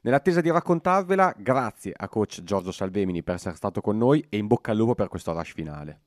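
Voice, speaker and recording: A man's voice: fairly low pitch, animated, quick pace, darkish tone, no room sound, studio quality. A man hosting a sports interview on the radio, introducing and questioning his guest.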